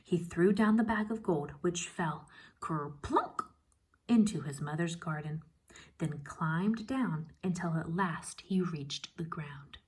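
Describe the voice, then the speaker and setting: A woman teacher reading a children's story aloud expressively, pausing to ask questions and explain. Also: on the low side, slightly rough. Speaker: first grade teacher.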